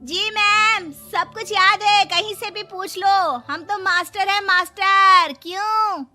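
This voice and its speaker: A woman voicing a cheeky, playful youngster in a cartoon with exaggerated, drawn-out delivery. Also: extremely high pitch, fairly even intonation, bright, forceful tone, soft background music, heavily acted. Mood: cocky.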